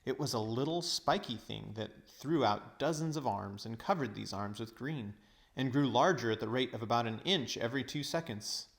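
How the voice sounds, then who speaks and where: Man narrating a storybook, mid-pitched. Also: animated, moderate pace, vocal fry. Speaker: teacher reading aloud.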